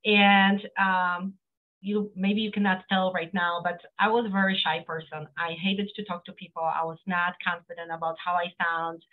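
A woman's voice: lowish voice, little pitch movement, normal speed. Polish-accented woman on a tinny call. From Poland. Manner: earnest, many fillers and restarts. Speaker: guest, accountant. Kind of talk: casual interview talk. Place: home office, indoors. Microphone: video call. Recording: phone-like narrow sound.

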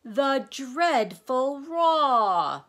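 A woman voicing an emotional, boisterous male smog creature in a storybook reading, bellowing and over-excited. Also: on the high side, takes it slowly, pitched above the performer's own voice. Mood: anguished sobbing.

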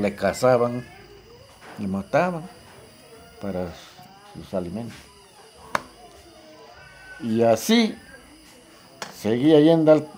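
An older man with a Peruvian accent recalling the past informally, haltingly and with repeated phrases. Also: mid-range voice, mellow. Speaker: local resident giving testimony. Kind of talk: casual spoken reminiscence.

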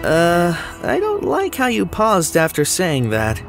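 A woman's voice: fairly low pitch, wildly swooping pitch, slow, music in the background, heavily acted. A woman voicing an anxious, scatterbrained teenage schoolboy in an audio drama, hesitant and exclamatory. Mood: wary.

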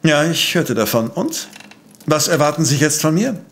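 Brilliant, impatient professor-detective for audio drama: raised register, brusque, precise, superior manner. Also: male voice, fairly high pitch, sing-song, normal speed. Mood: dismissive, impatient. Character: impatient, arrogant, brilliant male professor and amateur sleuth. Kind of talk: German audio drama acting.

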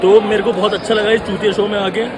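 A man's voice: sits high, flat delivery, fast, very noisy. A young man, a bodybuilding competitor, bluntly and heatedly complaining to a phone camera in a crowded expo hall. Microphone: phone held at arm's length. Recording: loud crowd behind.

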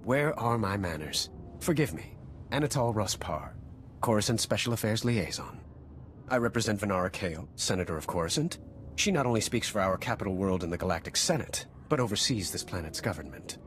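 An earnest liaison in a game. His voice sits somewhat low and his speech is formal and courteous, over faint ambience. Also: lively intonation, a little fast, a bit bright, a little hiss or hum. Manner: formal, polished, deferential. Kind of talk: video game cutscene acting.

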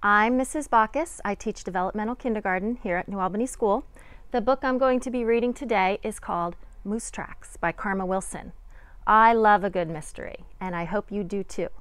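A middle-aged woman, a kindergarten teacher, reading a picture book aloud to young children with deliberate, sing-song dramatic emphasis. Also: mid-range voice, a bit bright, clear, resonant tone, no echo.

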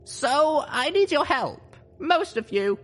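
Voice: sits high, big pitch swings, takes it slowly, a bit bright, faint music behind, pitched above the performer's own voice, full character voice. A narrator voicing an earnest, determined young female pony leader, speaking solemnly and urgently in a story reading. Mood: earnest, pleading. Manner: solemn, urgent speech-making.

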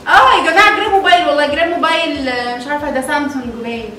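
In a very echoey room, far from the mic, a young woman with an Egyptian accent talks in a high voice. She chatters casually, exclaims often and repeats her phrases. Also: very fast, bright, forceful tone, a little hiss or hum. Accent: Egyptian Arabic. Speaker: host of a family toy-unboxing vlog, mother. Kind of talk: casual chat to camera and children. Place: tiled living room at home, indoors. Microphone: far camera.

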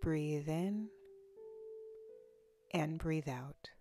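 A woman leading a guided body scan meditation in a soothing, unhurried, instructional way with long pauses. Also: low voice, warm tone, soft background music. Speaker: guided relaxation narrator, counselor.

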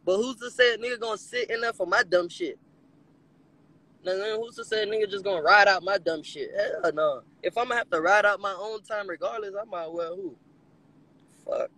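A man's voice: a little fast, rough recording. Young man ranting on a car livestream. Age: young adult. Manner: animated, swings between low mutters and high exclamations. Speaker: rapper hosting a livestream. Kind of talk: casual livestream chat. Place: inside a car. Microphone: phone at arm's length.

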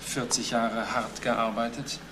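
An actor playing an earnest, reasonable adviser who explains things deliberately in a crime radio play. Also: male voice, mid-range voice, flat delivery, close to an everyday voice. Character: earnest, measured male adviser giving testimony. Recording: noisy old radio play recording.

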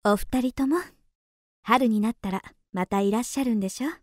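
A woman acts a sociable young innkeeper's daughter in a radio drama, girlish and playful, lovestruck and teasing. Her voice is on the high side, with a dry, close sound. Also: brisk, a little mellow, dry recording, natural voice. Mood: friendly.

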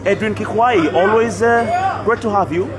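A man with a Kenyan accent commentates a pool final live, and his voice sits high. He talks in an easy, chatty way and greets viewers by name between shots. A loud crowd fills the space around him. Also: big pitch swings, normal speed. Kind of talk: live sports commentary for a stream. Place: pool tournament venue in Nairobi.

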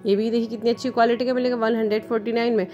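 A woman shopping vlogger walking through store aisles with her phone, pointing out products and prices in brisk, upbeat, salesy patter. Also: fairly high pitch, level tone, mellow, dull audio, faint music behind. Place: indoor retail store aisles.

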